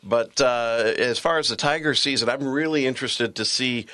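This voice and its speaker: A man's voice: mid-range voice, moderate pace, sharp tone, dry recording. An American man from Michigan, a radio sports broadcaster, chatting casually about sports. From Michigan, USA. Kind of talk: casual sports podcast chat.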